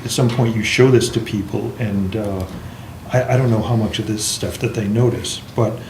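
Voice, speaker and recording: A man's voice: fairly low pitch, fairly even intonation, normal speed, darkish tone. A man offering tentative, hedging feedback in a casual group discussion. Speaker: writers' group member. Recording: echoey room, faint noise.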